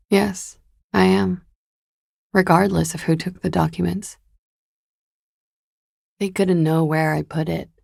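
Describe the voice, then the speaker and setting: Coy disco dancer, creaky low voice. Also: female voice, a little mellow, dry recording, clean studio sound, full character voice. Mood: guarded, composed. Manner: wry, coy, understated. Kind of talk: video game voice acting.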